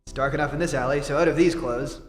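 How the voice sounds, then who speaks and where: An actor playing an earnest, determined reporter who is secretly a superhero, in 1940s radio style. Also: male voice, fairly high pitch, fairly even intonation, quick pace, over music, natural voice. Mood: heroic, rousing. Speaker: amateur theatre actor. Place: theatre stage before a live audience. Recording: echoey hall, live stage performance, noisy.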